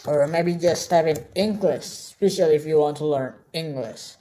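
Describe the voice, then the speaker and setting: Woman course tutor, Indonesian native speaker, low and mellow voice, unhurried, chatty with lots of "all right?" check-ins. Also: poor audio. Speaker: online course instructor. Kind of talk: screen-share tutorial.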